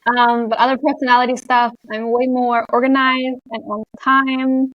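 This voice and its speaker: Chatty Canadian woman, fairly high voice. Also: little pitch movement, moderate pace, muffled recording. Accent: Canadian English with an international European tinge. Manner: chatty, laughs often, many likes and you knows. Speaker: guest, content creator. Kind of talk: casual interview chat.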